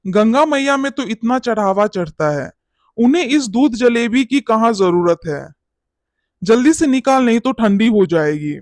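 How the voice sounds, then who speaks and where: A man's voice: extremely high pitch, expressive, measured pace, dry recording, studio quality. A man playing a greedy, glib and bossy village priest in a cartoon bedtime story, scolding and cajoling. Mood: pleased. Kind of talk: animated bedtime story character acting.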